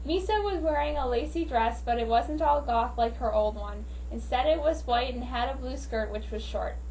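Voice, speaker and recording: A woman's voice: fairly high pitch, moderate pace, darkish tone. A woman giving an amateur dramatic reading of a story in a theatrical, mock-serious manner. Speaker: amateur reader. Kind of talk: dramatic reading of fan fiction. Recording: noisy, distant home microphone.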